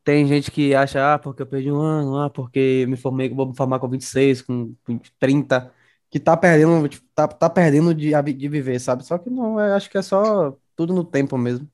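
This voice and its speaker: A man's voice: a little high, fairly quick, a little mellow. A young Brazilian man in his twenties in casual podcast chat, laid-back and slangy, tripping over words now and then. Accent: Brazilian Portuguese. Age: 20s. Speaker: podcast co-host.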